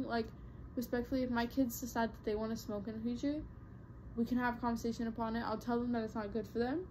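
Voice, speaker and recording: A woman's voice: fairly high pitch, a little mellow, a little hiss or hum, poor audio. A young woman vlogger chatting casually to her viewers, rambling with lots of fillers and restarts. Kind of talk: casual talk to camera.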